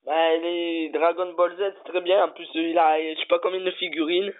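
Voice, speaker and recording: A man making a prank phone call, stubbornly pushing absurd demands in a halting way. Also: on the high side, little pitch movement. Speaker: prank caller. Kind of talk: phone prank, playing a pushy customer. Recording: tinny phone line.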